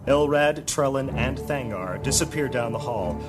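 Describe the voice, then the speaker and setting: A man's voice: mid-range voice, animated, normal speed. A man giving scripted, dramatic narration as the narrator of a fantasy audio drama. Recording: loud music behind the voice.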